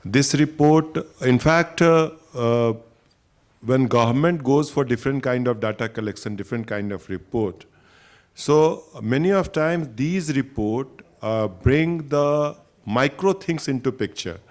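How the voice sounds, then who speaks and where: A man answering on a panel as a government official, in halting, plain phrases. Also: medium pitch, a little mellow.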